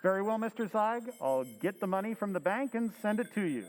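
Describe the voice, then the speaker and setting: An actor playing a nervous, scheming middle-aged husband in an old-time radio drama recreation, hesitant and stammering. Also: male voice, sits high, expressive, fairly quick, big-room sound, faint music behind. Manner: hesitant, full of uh's and stammers. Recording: dull, lo-fi sound.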